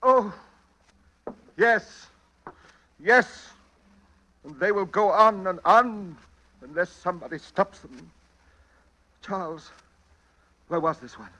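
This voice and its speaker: A man's voice: sits high, slow, long pauses, sharp tone, exaggerated voice. A man playing a nervous, hesitant retired bank man in a 1940s-style radio drama, growing frightened and obsessive. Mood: agitated, resolute. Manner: hesitant, repeating words, dramatic. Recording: old-time radio drama.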